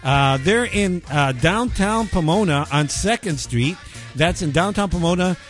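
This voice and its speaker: A man's voice: sits high, expressive, unhurried, soft background music. A man chatting casually as a laid-back talk show co-host in a garage, often ending sentences with a questioning "eh". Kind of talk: casual chat.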